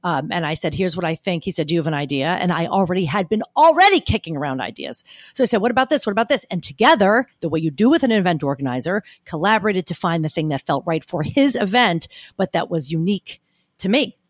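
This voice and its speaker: Woman w a gruff gritty voice, kinda low, punchy and emphatic, super dry sound. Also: fast talker, no room sound. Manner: emphatic, argumentative, animated storytelling. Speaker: guest speaker coach. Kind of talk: podcast interview.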